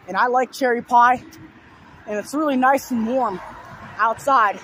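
A hoarse young man with a sore throat rambling through repeated simple lists in a casual vlog, filmed outdoors beside a road on a phone held at arm's length. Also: very high voice, a little slow, sharp tone, rough recording. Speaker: vlogger. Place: outdoors on a fenced overpass beside a busy road. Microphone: phone held low at arm's length. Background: faint passing traffic.

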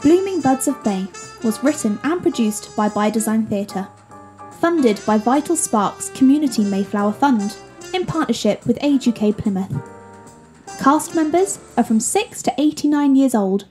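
A woman's voice: on the high side, animated, moderate pace, darkish tone, soft background music. A woman reading scripted credits as an announcer. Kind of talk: scripted narration.

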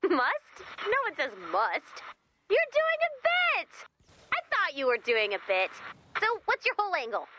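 A woman's voice: very high-pitched, wildly swooping pitch, a little slow, bright, forceful tone, dull audio, a little hiss or hum, full character voice. A woman playing a wisecracking, eager, sarcastic female robot helper in a video game, quippy and playful with rambling asides. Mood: teasing. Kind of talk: scripted video game character dialogue.

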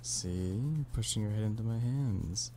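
A man's voice: fairly low pitch, a little slow, close to an everyday voice. A man playing an affectionate, playful boyfriend in an ASMR roleplay, teasing and pleading in an intimate one-sided conversation. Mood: teasing, coaxing. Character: playful, affectionate human boyfriend coaxing a stubborn cat-person. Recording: steady background noise of rain. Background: rain.

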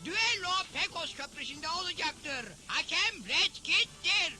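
A man voicing an excitable, eager townsman in a Turkish cartoon dub, with a drawn-out theatrical delivery. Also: very high voice, soft background music, exaggerated voice. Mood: proclaiming.

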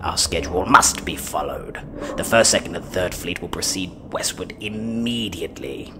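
Stern commanding prince male actor for space audio drama, deep voice, deliberate delivery. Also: noisy background, close to an everyday voice. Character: stern alien warlord prince, commanding and ruthless. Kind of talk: science fiction audio drama acting.

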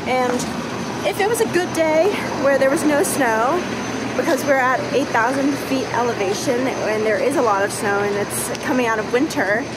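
A young woman narrating a casual travel vlog, calmly explaining the route. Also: high voice, very noisy. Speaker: travel vlogger. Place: outdoors on a snowy canyon rim. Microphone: phone camera at arm's length.

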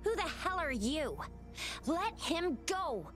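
A woman's voice: extremely high pitch, sing-song, slow pace, soft background music, full character voice. An actress playing a hot-headed young woman fighter who barks orders. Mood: angry.

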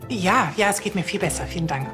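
A woman's voice: fairly low pitch, expressive, quick pace, music in the background, natural voice. An actress playing a middle-aged woman doctor, polite, hesitant and a little evasive, in a TV drama. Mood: flustered, polite. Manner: hesitant, apologetic. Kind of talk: TV drama acting.

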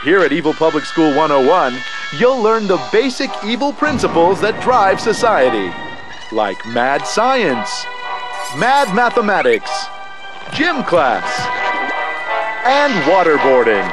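A man's voice: sits high, big pitch swings, slow pace, loud music behind the voice, full character voice. A man playing a mock-cheerful, sinister school announcer, narrating a parody educational film in a cartoon game.

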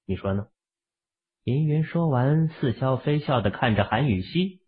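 A man's voice: mid-range voice, expressive, moderate pace, breathy edge. A man narrating fiction from a script as an audiobook storyteller. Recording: phone-like, band-limited audio.

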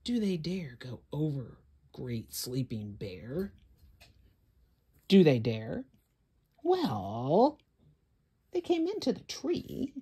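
A woman's voice: low-pitched, wildly swooping pitch, extremely slow, long pauses, mellow, husky. A woman hosting a children's story time, reading aloud in a dramatic, suspenseful way with whispers and gasps.

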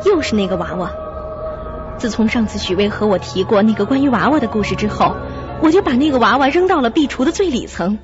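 Actress as an expressive, high-voiced young flatmate. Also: female voice, quick pace, natural voice. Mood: alarmed. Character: young woman Xiaoqi, startled, then soothing flatmate. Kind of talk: Mandarin radio drama acting. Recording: muffled lo-fi radio drama, faint music.

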